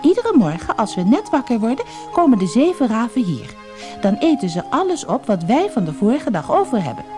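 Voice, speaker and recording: A woman voicing cheerful, helpful, excitable little girls in a storybook tale. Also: fairly high pitch, a little fast, soft background music, pitched above the performer's own voice. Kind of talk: storybook character voice.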